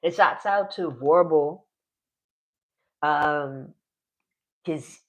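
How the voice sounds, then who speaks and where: A man's voice: a little high, drawn-out words, fairly bright tone. Rambling chatty man in his forties. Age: 40s. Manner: rambling, chatty, laughs often, trails off mid-sentence. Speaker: solo game streamer. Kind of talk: casual live-stream narration of solo play.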